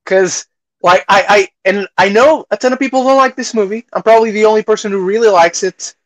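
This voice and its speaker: A man with a fairly high-pitched voice talks casually as a podcast host. He is opinionated and loose with his language, in the manner of a film discussion. The sound is very dry, with no room around it. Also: a little fast, a bit bright, dry recording.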